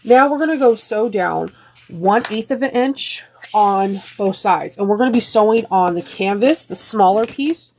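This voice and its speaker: A woman's voice: mid-range voice, animated, moderate pace, darkish tone, a little hiss or hum. Chatty woman with a congested voice. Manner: chatty, with frequent ums and restarts. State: congested from a sinus infection, voice slightly deeper. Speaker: sewing tutorial host. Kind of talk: step-by-step sewing tutorial.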